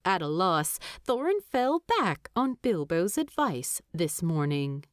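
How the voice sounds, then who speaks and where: Slow, expressive woman storyteller. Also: on the low side, no echo, studio quality. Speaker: narrator. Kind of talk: expressive scripted story narration.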